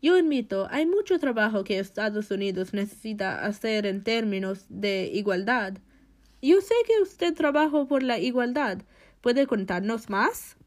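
A woman acts as interviewer in a scripted conversation. Her voice is fairly high. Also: sing-song, unhurried.

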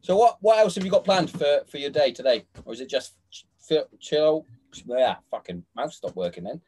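A man's voice: on the high side, expressive, normal speed, darkish tone, breathy edge. Sweary, chatty man. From England. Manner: sweary, laughing, trails off into restarts. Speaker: podcast host. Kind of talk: casual chat.

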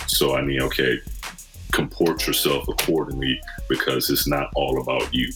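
Man, 40s, from Michigan, very deep voice, thoughtful, earnest, casual podcast chat. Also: level tone, moderate pace, over music. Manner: reflective, earnest storytelling. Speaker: podcast guest. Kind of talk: casual conversation.

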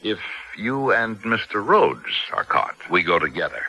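An actor playing a careful, earnest diplomat in a 1970s radio drama, official and measured in manner. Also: male voice, fairly low pitch, sing-song, sharp tone, breathy edge, exaggerated voice, rough recording. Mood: grave, urgent. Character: grave, careful consulate diplomat proposing a risky plan.

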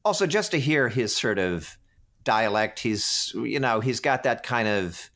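A man of medium pitch talks as an actor being interviewed. He is chatty and extroverted, telling his recollections in an animated way. His voice is loud and conversational. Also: a little slow.

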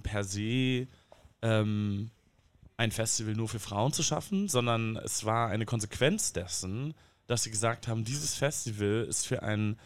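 A man taking part in a casual discussion, thoughtful and hedging, with fillers. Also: lowish voice. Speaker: guest.

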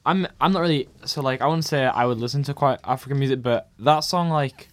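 A man's voice: medium pitch, a little fast. A man with a mid-range voice talks on a community radio show from the studio. He sounds hesitant, stumbling over words and leaning on "like" as he explains the plans. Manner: hesitant, repeating words and saying like often. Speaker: radio co-presenter. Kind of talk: casual radio chat.

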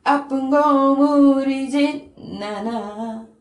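A woman singing Korean ballads a cappella in a soft, earnest way. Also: high voice, fast talker. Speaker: amateur singer. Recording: echoey room, faint music.

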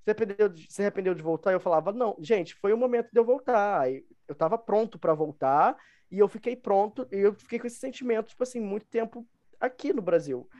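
A man's voice: high-pitched, animated, fast talker, darkish tone, highs cut off. A young man with a Brazilian Portuguese accent telling personal stories casually as a podcast guest, emphatically repeating his phrases. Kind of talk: casual conversational storytelling.

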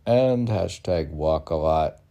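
An older man reading his own poetry aloud in a halting manner, mixing recitation with casual asides. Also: low voice, lively intonation, creaky, dark tone, highs cut off. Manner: halting, spells out and repeats words. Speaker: poet reading own work.

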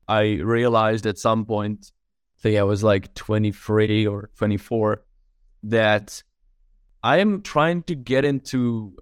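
A fairly low, smooth voice from a young man from the Czech Republic, talking in a relaxed, reflective way. He leans on fillers like "like" and "right?" as he thinks aloud. The sound is dry and close, with no room around it. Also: no room sound. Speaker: guest filmmaker. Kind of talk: casual interview chat.

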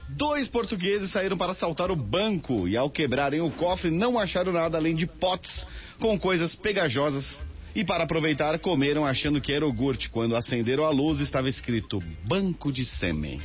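Radio co-host, male, lively swooping pitch, lo-fi sound with faint music behind. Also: normal speed.

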